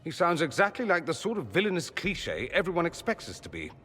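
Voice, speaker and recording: An actor playing a witty, self-assured, theatrical mage with a droll manner in a fantasy video game. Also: male voice, fairly high pitch, wildly swooping pitch, fast, pushed and bright, full character voice. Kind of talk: dramatic video game acting. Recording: long hall reverb, music behind.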